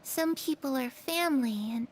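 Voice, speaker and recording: A woman voicing a soft, innocent, ghostly girl in a video game, speaking in a hesitant, hushed way. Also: high voice, moderate pace, slight background noise, natural voice. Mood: ominous, hushed.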